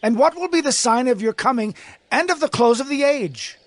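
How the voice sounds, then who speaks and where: Earnest disciple, very high male voice, inquiring, animated intonation, dry sound, audio Bible drama. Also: normal speed, no echo. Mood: anxious curiosity. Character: earnest disciple questioning his master.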